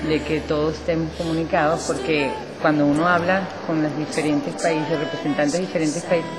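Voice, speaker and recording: A woman, a delegate for a Basque center, giving an earnest and steady interview answer. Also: low-pitched, normal speed, music in the background. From Venezuela. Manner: earnest and steady, repeats key phrases.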